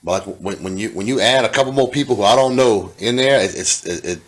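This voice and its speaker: A man co-hosting a casual podcast chat, with a soothing voice and a halting way of talking that repeats words. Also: fairly low pitch. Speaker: podcast co-host.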